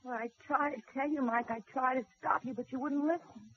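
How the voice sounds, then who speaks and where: An actress playing a devoted working-class wife who coaxes and scolds in slangy speech, in 1940s radio acting. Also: female voice, high voice, fairly even intonation, brisk, a little hiss or hum, close to an everyday voice. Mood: scolding. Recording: dull old radio recording.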